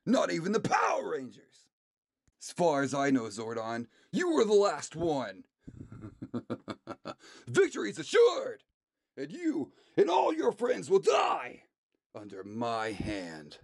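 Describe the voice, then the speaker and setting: A man voicing a hateful, power-hungry villain warlord in an audio drama, gloating theatrically in a drawn-out way. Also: on the high side, wildly swooping pitch, gravelly, no echo, studio quality, voice pushed up, heavily acted. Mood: menacing triumph.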